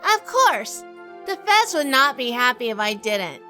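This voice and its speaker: A sassy, poised noir businesswoman, acted by a woman in an audio drama. She is wry and unflappable, with a high, bright voice that moves in lively swings. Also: unhurried, faint music behind, exaggerated voice. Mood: cool, challenging. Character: sassy, composed noir businesswoman telling her story.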